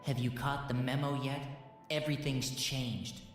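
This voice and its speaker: A man's voice: mid-range voice, flat delivery, a little slow, natural voice. A man playing a defensive, bitter pony haunted by guilt in an audio drama, snappish and sarcastic, distant and echoing. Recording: very echoey and distant, faint music.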